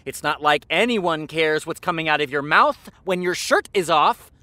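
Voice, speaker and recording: A man playing a defensive, exhausted TV writer with a sardonic, halting delivery in a naturalistic audio drama. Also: a little high, animated, pushed and bright, no echo. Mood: accusing, cutting.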